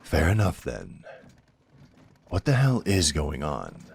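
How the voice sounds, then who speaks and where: Male actor as blunt, loyal werewolf lieutenant, fantasy audio drama. Very low, raspy voice, sardonic and defiant. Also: wildly swooping pitch, takes it slowly, full character voice. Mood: wry. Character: loyal, blunt, defiant werewolf right-hand man to a duke.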